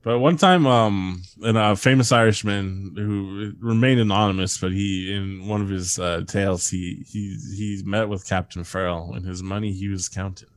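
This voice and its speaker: A man's voice: low-pitched, fairly even intonation, moderate pace, hardly any pauses. A man hosting a comedy podcast, reading strange stories aloud and breaking into laughter and asides. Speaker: podcast host reading a countdown list.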